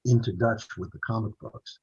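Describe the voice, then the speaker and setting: A man's voice: fairly low pitch, fairly even intonation, moderate pace, darkish tone, breathy edge, highs cut off. A man, an author, telling long stories in an interview over a video call, rambling with restarts and fillers. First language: English. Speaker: author and translator, interview guest.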